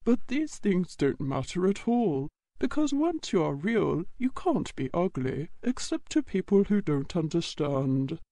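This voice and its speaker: A woman voicing a kindly, truthful old male toy horse in a storybook, explaining gently and patiently. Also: on the low side, very animated, measured pace, few pauses, no echo, pitched below the performer's own voice, exaggerated voice. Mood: gentle, wise. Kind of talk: storybook audiobook character reading.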